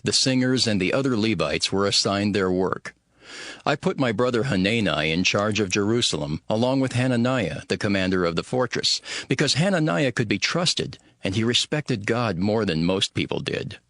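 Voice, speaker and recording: Low-voiced, earnest ancient governor. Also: male voice, expressive, moderate pace, fairly bright tone, no room sound, studio quality. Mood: earnest, measured. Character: steadfast, devout male governor of ancient Jerusalem telling his story. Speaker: first-person narrator. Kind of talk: dramatized scripted narration.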